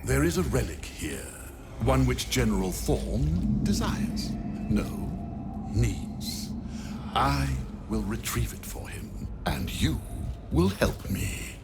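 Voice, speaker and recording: A man's voice: mid-range voice, big pitch swings, gravelly, background noise, full character voice. An actor playing an arrogant, cold male necromancer in a video game cutscene, speaking with haughty disdain. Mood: condescending. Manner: haughty, disdainful, slow and deliberate.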